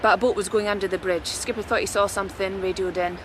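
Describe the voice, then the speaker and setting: An actress playing a brisk, matter-of-fact journalist in a radio drama. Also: female voice, medium pitch, steady tone, natural voice. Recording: faint outdoor noise behind voice.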